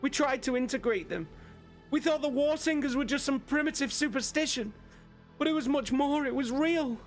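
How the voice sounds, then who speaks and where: Guy putting on a panicked screaming woman officer, shrill and breathless, faint music under it. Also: moderate pace. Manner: frantic, shrieking, breathless pleading. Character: terrified woman officer pleading amid massacre.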